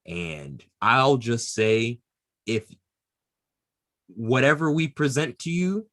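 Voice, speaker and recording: A man co-hosting a casual podcast, lively and loaded with fillers. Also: mid-range voice, slow, no room sound, studio quality. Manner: lively, hesitant with many fillers. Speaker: podcast co-host. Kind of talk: casual chat.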